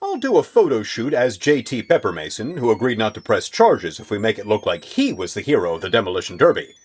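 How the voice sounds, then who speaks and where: An actor as an excitable, husky male agent. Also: on the low side, a little fast. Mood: confident. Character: overconfident, excitable male agent with wild plans. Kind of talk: comedic audio drama acting. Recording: faint music behind.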